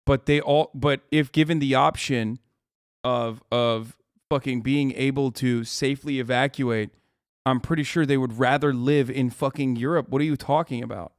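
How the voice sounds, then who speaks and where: A man's voice: medium pitch, fairly even intonation, normal speed, no room sound, studio quality. A man streaming live, reacting to a news video with heated, profane rants and emphatic repetition.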